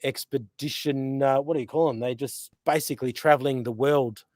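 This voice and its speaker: Rambling Australian bloke on a live stream. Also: male voice, mid-range voice, steady tone, moderate pace, darkish tone, no echo, studio quality. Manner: rambling, many fillers, teasing. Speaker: host. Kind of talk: casual live-stream chat. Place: outdoors by a river.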